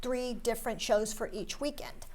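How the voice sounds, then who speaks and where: Woman, interview guest, casual chat, slightly high pitch. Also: expressive, moderate pace, darkish tone. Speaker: guest, theatre director.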